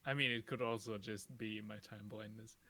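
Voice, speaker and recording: A man's voice: lowish voice, steady tone, moderate pace, bright, forceful tone. A man bantering casually as co-host of a game stream, joking and self-mocking.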